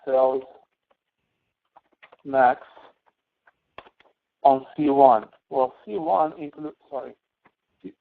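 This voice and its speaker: Over a narrow, phone-like online meeting connection, a man gives a tutorial. His mid-pitched voice has a lot of creak. He explains step by step in a halting, instructional way. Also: steady tone. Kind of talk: tutorial walkthrough over screen share.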